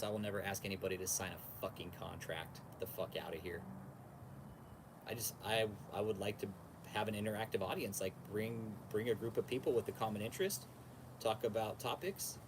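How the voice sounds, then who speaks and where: An American man with a fairly low voice hosts a casual livestream. He talks straight to his viewers in loose, slangy bro-talk, repeating himself and swearing freely. There is clear background noise under his voice. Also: normal speed. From California, United States. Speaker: podcast and livestream host.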